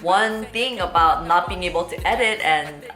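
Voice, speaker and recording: A woman's voice: lowish voice, moderate pace, sharp tone. A woman, chatting casually and rambling in an unedited Q&A vlog while cutting fruit. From South Korea and Los Angeles. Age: 30s. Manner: chatty, rambling, often switching into Korean words. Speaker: YouTuber host. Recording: roomy echo with faint background music.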